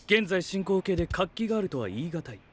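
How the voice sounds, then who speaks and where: A blunt, logical young knight in an anime audio drama. His voice sits a little high and moves freely in pitch, yet he reports like an analyst, clipped and formal. Music plays behind him. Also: big pitch swings, fairly quick. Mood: coolly analytical. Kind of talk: Japanese anime voice drama acting.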